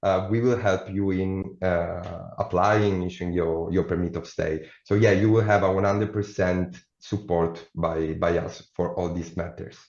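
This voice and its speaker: A man's voice: low voice, fairly even intonation, measured pace, few pauses, darkish tone. A man presenting admissions details in an online session, with frequent hesitations. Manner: hesitant, many fillers. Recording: echoey room, dull lo-fi sound.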